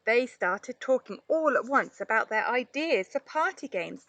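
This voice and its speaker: A woman reading a children's story aloud in an expressive narrating style. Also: on the high side, a little slow, no room sound. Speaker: storybook reader, play therapist.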